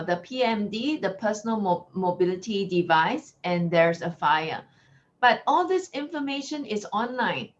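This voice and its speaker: Singaporean woman giving a measured lecture. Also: medium pitch. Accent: Singaporean English. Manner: clear, measured teacherly delivery. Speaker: guest lecturer. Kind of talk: online lecture. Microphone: video call. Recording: lo-fi video call audio.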